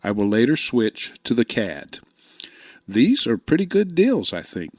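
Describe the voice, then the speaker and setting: A middle-aged or older man giving an informal, plainspoken microphone demo in short, deliberate phrases, speaking close to a dynamic microphone. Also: mid-range voice, huge pitch swings, darkish tone, no room sound. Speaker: amateur radio hobbyist reviewing microphones. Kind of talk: informal explanatory product demo narration. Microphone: close dynamic podcast or studio microphone.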